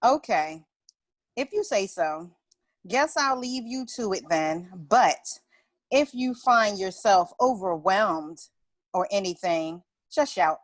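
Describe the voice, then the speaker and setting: A woman's voice: mid-range voice, lively intonation, takes it slowly. A woman playing an eager, polite office colleague who offers help, in a script table read.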